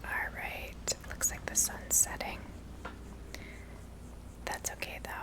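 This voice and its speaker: A woman softly whispering ASMR commentary as she plays a video game, gently musing aloud. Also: slow pace, rough recording. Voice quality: soft breathy whisper. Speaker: host playing a video game.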